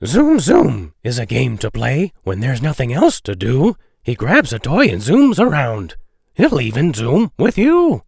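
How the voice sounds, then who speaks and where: Male narrator for children's rhymes. Playful and expressive, big pitch swings, measured pace, very dry sound. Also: gravelly, slightly rough, no echo. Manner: playful, deliberate, sing-song delivery of rhyming verse. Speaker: children's book read-aloud narrator. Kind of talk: expressive children's poetry storybook reading.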